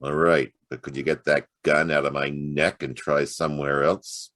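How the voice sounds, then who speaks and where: A hardboiled noir private eye, super low voice, wry and clipped, dry close sound, radio play style. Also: male voice, little pitch movement, normal speed, a bit bright, no room sound. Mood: defiant, cool. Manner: wry, clipped tough-guy talk with slangy quips. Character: hard-boiled male private detective, world-weary, wisecracking. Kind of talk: noir radio-play acting and first-person narration.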